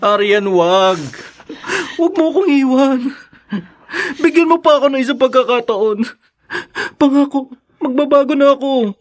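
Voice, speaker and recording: Filipino radio drama husband, pretty high voice, sorry and begging, guilt all over him. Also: male voice, measured pace. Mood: pleading. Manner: pleading, remorseful. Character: guilt-ridden, pleading young husband with a drinking vice.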